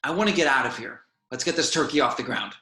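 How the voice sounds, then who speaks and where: A man's voice: medium pitch, quick pace, bright, forceful tone. Man playing a brusque captain in an echoey room. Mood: impatient. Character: weary, brusque male spaceship captain. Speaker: actor reading spaceship captain in online table read. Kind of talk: amateur script table read.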